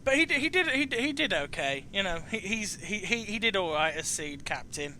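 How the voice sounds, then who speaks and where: A man's voice: sits high, very animated, a little fast, pushed and bright, a little hiss or hum. A man hosting a casual chat about video games, speaking hesitantly and often repeating and restarting his phrases. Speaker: chat show host interviewing a guest.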